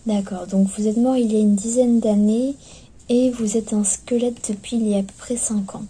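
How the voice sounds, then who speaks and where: A woman speaks softly in an ASMR roleplay as clinic staff, often slipping into a whisper, with gentle, hesitant, attentive patter. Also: on the high side, fairly even intonation, moderate pace, husky. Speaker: ASMR performer playing skeleton clinic staff. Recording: lo-fi recording with background noise.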